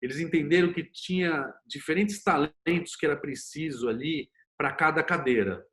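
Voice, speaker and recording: A man's voice: a little high, normal speed, a bit bright. A Brazilian man speaking as an interview guest, explaining things informally and thinking aloud in a conversational way. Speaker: interview guest, screenwriter and series producer.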